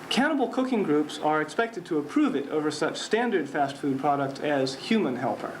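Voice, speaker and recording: A man playing a deadpan news anchor of the future, reading a humorous newscast in a measured, mock-serious way. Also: a little high, rough recording. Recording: faint noise, amateur 1970s recording.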